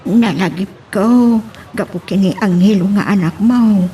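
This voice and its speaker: A woman with an Ilocano accent playing a gentle, sorrowful older mother in a radio drama. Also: medium pitch, unhurried, a little hiss or hum, close to an everyday voice. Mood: sorrowful pleading.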